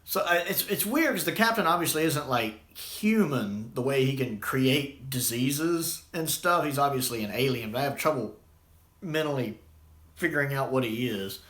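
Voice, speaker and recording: A man's voice: mid-range voice, expressive, moderate pace, a bit bright. A man giving a casual, unscripted review in a rambling, conversational way. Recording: echoey room sound.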